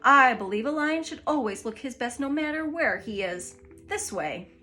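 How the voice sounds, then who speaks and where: A woman's voice: high-pitched, lively intonation, normal speed, sharp tone, soft background music. A woman voicing a proud, pompous male lion who calls himself king of the beasts, grand and gracious. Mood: grandly proud. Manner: grand, gracious, self-important.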